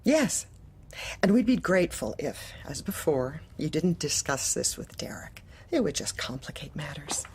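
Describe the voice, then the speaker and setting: A woman's voice: deep voice, sing-song, moderate pace, a little mellow, whispered. A woman playing a manipulative, officious corporate manager in a darkly comic audio drama, speaking with bland politeness and veiled menace. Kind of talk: audio drama acting, dark comedy.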